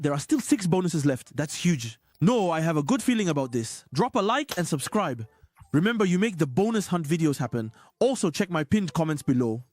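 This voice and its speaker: A hoarse man giving excited, repetitive casino slot commentary as a streamer. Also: a little high, no room sound.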